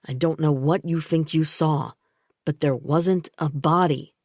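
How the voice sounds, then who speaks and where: A calm, patronizing man speaks firmly and slowly, brushing things aside, as a woman reading an audiobook voices him. The voice stays low and level. The sound is dry and close. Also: dry recording. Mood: dismissive. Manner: flat, firm, steady.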